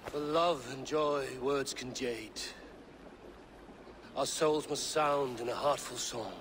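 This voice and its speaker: Man as a solemn, slow-spoken Viking jarl. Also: medium pitch, noisy background, natural voice. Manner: slow, earnest oratory. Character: solemn Viking jarl addressing his people. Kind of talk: dramatic video game acting.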